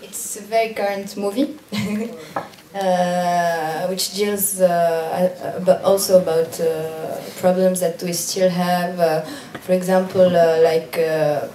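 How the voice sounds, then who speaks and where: A woman's voice: on the low side, fairly even intonation, takes it slowly, mellow, a little hiss or hum. A young woman with a Tunisian accent answering audience questions at a cinema Q&A, hesitant and searching for her English words, often laughing. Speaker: lead actress at a film Q&A. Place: cinema auditorium. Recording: echoey hall from a distant mic.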